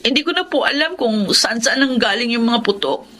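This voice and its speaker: A bereaved young Filipino woman in a radio melodrama. Her voice is high, pleading and defensive, heavy with hurt. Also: animated, moderate pace, a bit bright, a little hiss or hum. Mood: shaken. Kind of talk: Filipino radio drama acting.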